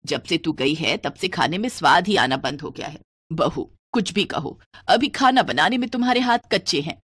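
A woman's voice: on the low side, expressive, normal speed, fairly bright tone, husky, dry recording, pitched below the performer's own voice. A woman voicing a stern, fault-finding older mother-in-law who admonishes, in a cartoon family story. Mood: complaining. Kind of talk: animated moral story dialogue.

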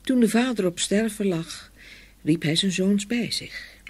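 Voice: medium pitch, unhurried. A storyteller giving a dramatic scripted narration of a fairy tale. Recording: faint ambient noise behind voice.

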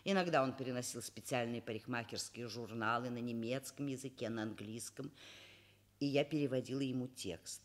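An actress playing an educated middle-aged professor in a radio play, wry and expressive in narration and dialogue. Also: female voice, a bass voice, brisk. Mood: wry, reflective. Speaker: radio drama actor, narrator. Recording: radio play, violin music behind.

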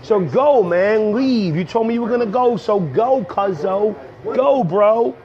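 A young man, hoarse from a cold, rambling casually to viewers on a livestream from a coffee shop. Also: high voice, expressive, unhurried, crowd noise. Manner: rambling, slangy, talks straight to viewers. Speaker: comedian livestreaming. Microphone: earbud headset mic on a phone. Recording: dull lo-fi phone stream.

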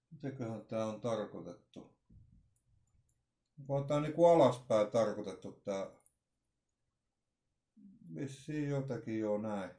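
A man's voice: mid-range voice, a little mellow. A man in his forties casually unboxing walkie-talkies at home, thinking aloud and trailing off with many fillers and restarts. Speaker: vlogger unboxing radios. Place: small room at home with shelves. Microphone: webcam at desk.

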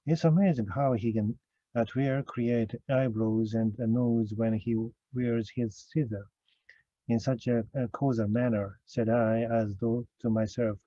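A man's voice: medium pitch, fairly even intonation, mellow, no room sound. Man reading a conference talk, lots of uhs and restarts, dry muffled sound. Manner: slow, careful, with frequent hesitations and self-corrections. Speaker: academic speaker. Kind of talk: recorded conference lecture.

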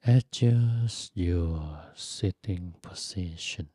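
A man leading a guided meditation in a soft, breathy, gentle voice, speaking in short phrases with long pauses between them. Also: sits low, no echo, studio quality. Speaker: Buddhist monk teaching meditation.

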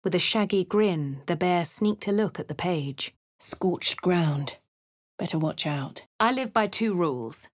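An actress voicing a posh, wry young adventurer woman with an English accent, reading game lines and narration in a crisp, well-spoken way. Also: on the low side, expressive, unhurried, no echo. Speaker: player character voice. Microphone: studio mic, dry.

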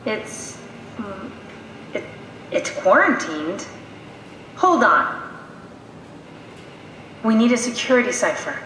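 An actress playing a brisk, pragmatic scientist companion in a sci-fi horror game, matter-of-fact and dryly wry. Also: female voice, mid-range voice, big pitch swings, fairly bright tone. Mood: dismayed, resigned. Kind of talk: video game voice acting. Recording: very echoey metal hall, noisy ambience.

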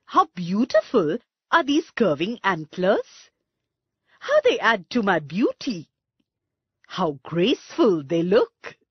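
A woman voicing a vain male stag in a children's storybook, expressive and absorbed in his own looks. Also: mid-range voice, slow pace, breathy edge, no echo, muffled recording, heavily acted. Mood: vain, admiring. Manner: self-admiring, expressive.

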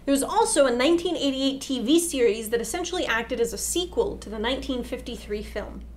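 High-voiced young woman, some background noise. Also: lively intonation, fairly quick. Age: young adult. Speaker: co-host. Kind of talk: scripted explainer narration.

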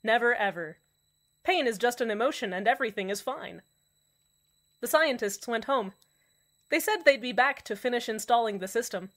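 A woman's voice: on the high side, lively intonation, moderate pace, fairly bright tone. A woman playing a lonely radio host in an audio drama who insists that everything is fine. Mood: forced cheer. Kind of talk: scripted fan audio drama, radio monologue.

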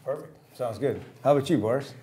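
A man's voice: mid-range voice, fast talker, warm tone. An older man hosting a community TV interview in a studio, asking questions with short friendly affirmations. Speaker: TV show host. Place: small TV studio.

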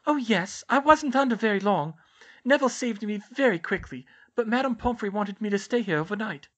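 A woman's voice: mid-range voice, animated, a little fast, slightly rough, pitched above the performer's own voice. A woman voicing a serene, polite and unruffled teenage girl witch in a storybook.

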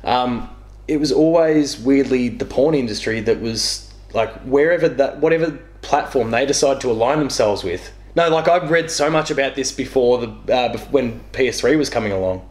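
A man's voice: mid-range voice, normal speed, darkish tone. A young man telling gaming stories in casual chat as a guest, in a rambling, self-correcting way. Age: young adult. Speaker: interview guest. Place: small living room, on a couch. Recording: echoey living room.

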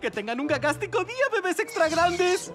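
A woman's voice: very high-pitched, big pitch swings, normal speed. A woman voicing a zany, overbearing play-area attendant in a cartoon, theatrical and singsong. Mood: mock cheerful. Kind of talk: animated children's cartoon dubbing in Spanish. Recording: music behind the voice.

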